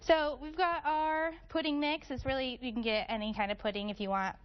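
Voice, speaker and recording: A woman's voice: high voice, fairly even intonation, brisk, muffled recording. A woman chatting and explaining like a teacher during a casual cooking demonstration in a TV studio kitchen. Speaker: guest cooking instructor and fourth grade teacher.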